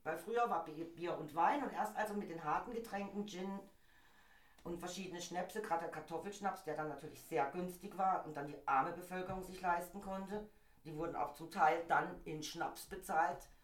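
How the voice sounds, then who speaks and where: A middle-aged woman, slightly hoarse from a cold, chatting in an opinionated way as a podcast co-host. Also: fairly low pitch, normal speed, reverberant. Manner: chatty, opinionated, laughs easily. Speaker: podcast co-host presenting a topic. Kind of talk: casual opinion chat.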